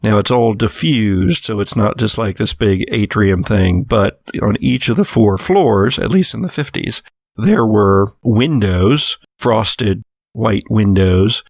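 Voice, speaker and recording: A man narrating a tabletop horror role-playing game as its game master, describing scenes with frequent hesitant pauses. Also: lowish voice, no echo, clean studio sound.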